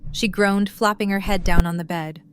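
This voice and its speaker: A woman's voice: medium pitch, fairly even intonation, moderate pace, a bit bright, a little hiss or hum. A woman reading scripted story narration as the narrator of an audio drama.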